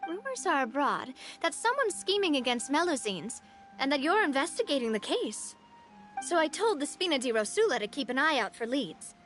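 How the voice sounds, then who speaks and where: A woman's voice: sits high, a bit bright. An actress playing a confident, breezy young woman who leads an organization in a video game, sounding self-assured.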